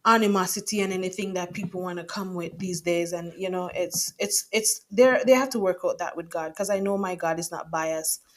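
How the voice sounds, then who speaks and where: A woman's voice: on the low side, a little fast, few pauses. A woman hosting a podcast interview, talking in an emphatic, upbeat and affirming way. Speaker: podcast host. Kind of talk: casual interview talk.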